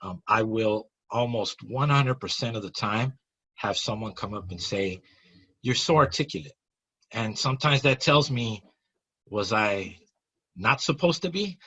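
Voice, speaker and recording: Husky, bright-voiced man on a muffled line. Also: on the low side, expressive, a little slow. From Long Beach area, California. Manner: earnest, repeats words and restarts, loves examples. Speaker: nonprofit co-founder and guest. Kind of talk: conversational interview. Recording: muffled, lo-fi audio.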